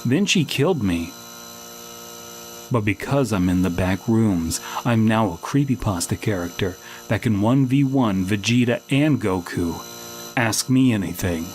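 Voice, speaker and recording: A man hosting a podcast, reading a comic first-person horror story in a deadpan manner. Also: lowish voice, a little slow, slight background noise. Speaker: podcast host and story reader.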